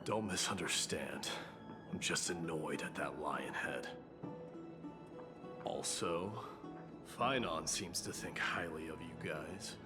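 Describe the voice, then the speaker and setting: Deep-voiced, menacing warrior in a game; keep it curt. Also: male voice, slow pace, pauses often. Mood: cold. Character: stern warrior man, gruff and threatening. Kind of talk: game voice acting. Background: game music.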